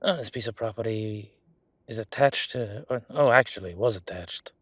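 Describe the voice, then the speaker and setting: A man's voice: medium pitch, no room sound, close to an everyday voice. A man playing a defensive, chatty government records clerk in an audio roleplay, talking in a rambling, colloquial way. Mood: matter-of-fact, hesitant. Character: male records hall clerk, defensive, chatty, lazy bureaucrat. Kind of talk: solo audio roleplay, conversational acting.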